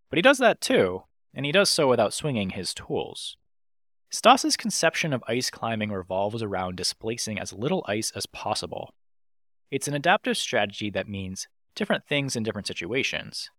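A man's voice: on the low side, dry recording, studio quality. A man hosting a podcast, reading a scripted intro and then chatting playfully with his guest. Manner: animated, playful delivery. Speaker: podcast host.

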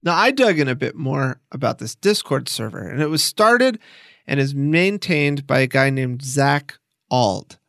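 A man's voice: medium pitch, animated, a little slow, gravelly, a bit bright, no room sound, studio quality. A man hosting a documentary podcast, narrating and interviewing in a casual, enthusiastic, conversational way.

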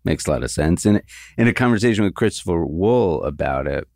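A man with an extremely deep, gravelly voice asks measured, attentive questions as an interviewer. He frames each question at length, and the recording is close and dry. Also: lively intonation, a little mellow, no room sound.

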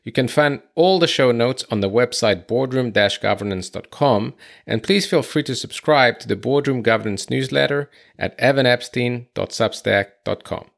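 A man's voice: medium pitch, vocal fry. A man hosting an interview podcast, often stopping and restarting his sentences. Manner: halting, with many restarts. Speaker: podcast host. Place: home studio in San Francisco.

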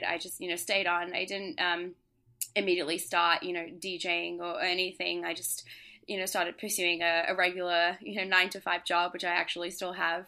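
A young woman, a DJ and producer from Australia and Singapore, chatting casually as an interview guest, relaxed with frequent laughs and fillers. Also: fairly low pitch.

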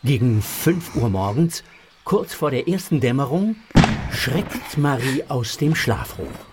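Unhurried male children's story narrator. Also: mid-range voice, very animated, noisy background.

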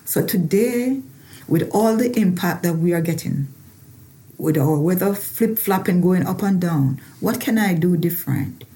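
A woman's voice: on the low side, expressive, measured pace, mellow. A woman from Jamaica with a Jamaican accent, a farmer and researcher talking personally in an interview and repeating phrases for emphasis.

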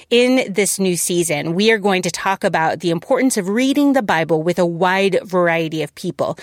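Woman podcast host, scripted intro read, clear and upbeat, dry studio sound. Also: mid-range voice, dry recording.

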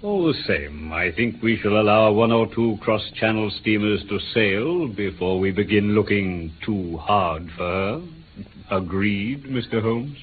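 An actor playing a stolid, courteous police inspector with a British accent, matter-of-fact and deferential, in a vintage radio drama. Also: male voice, fairly low pitch, expressive, unhurried. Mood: knowing. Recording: tinny, noisy vintage radio recording.

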